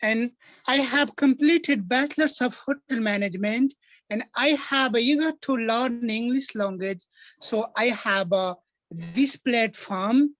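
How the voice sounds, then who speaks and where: Guest, male, Nepali accent, native Nepali and Maithili speaker, formal and halting, dull dry call line. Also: very high-pitched, no room sound. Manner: formal, halting self-introduction. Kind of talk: English practice conversation.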